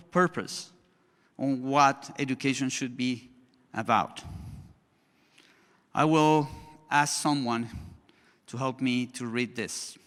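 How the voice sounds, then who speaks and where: A male conference speaker who is not an English speaker, talking slowly in a halting way with many restarts as he presents to an audience and takes questions. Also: medium pitch, fairly bright tone. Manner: slow, halting, frequent restarts. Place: large conference hall. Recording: hall reverb.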